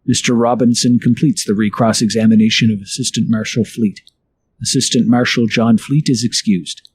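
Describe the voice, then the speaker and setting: A man's voice: lowish voice, fairly even intonation, normal speed, a little mellow, husky. A man giving formal scripted narration of court proceedings as a narrator.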